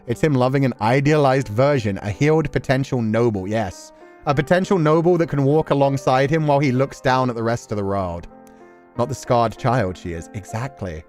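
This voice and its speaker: A man's voice: mid-range voice, moderate pace, darkish tone. A man streams casual, rambling commentary in an informal, conversational way. His delivery is emphatic and peppered with slang as he addresses his viewers. Music plays behind his voice. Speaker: streamer reacting to a story game. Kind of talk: casual rambling commentary, reading and answering chat.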